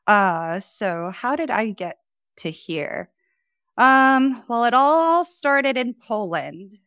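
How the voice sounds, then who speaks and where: A woman's voice: a little high, animated, slow pace, a bit bright, smooth, no echo, studio quality. A woman from Chicago telling her story as a podcast guest in a chatty way, with many fillers. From Chicago, United States. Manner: chatty, frequent fillers and laughs. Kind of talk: casual storytelling interview.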